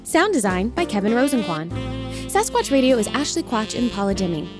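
Animated woman reading credits over music. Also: fairly high pitch, fairly quick. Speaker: credits announcer. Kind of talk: scripted podcast credits read. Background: guitar music.

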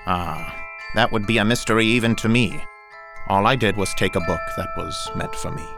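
A man's voice: fairly low pitch, normal speed, creaky, over music. An actor playing a pompous, grandiose male academic who steals books, lecturing and rambling in an audio drama. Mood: defensive.

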